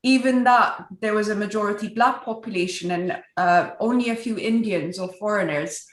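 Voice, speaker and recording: A woman's voice: mid-range voice, moderate pace. A woman from Ethiopia speaking earnestly as a guest on a virtual panel, with a strong voice. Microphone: video call. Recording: echoey room over a video call.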